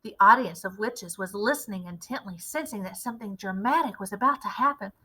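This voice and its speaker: A woman reading a children's story aloud in expressive, dramatic, clearly phrased narration. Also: medium pitch, a little fast, poor audio. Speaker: read-aloud teacher.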